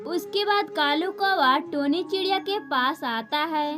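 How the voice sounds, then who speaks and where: Very high-voiced woman narrating a children's story. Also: measured pace, a bit bright, faint music behind.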